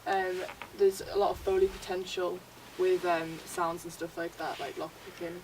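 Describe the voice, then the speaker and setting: Young female student presenter, even and flat delivery, recorded from a distance with faint noise. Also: lowish voice, normal speed. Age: young adult. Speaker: college student presenting a project. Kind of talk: informal class presentation.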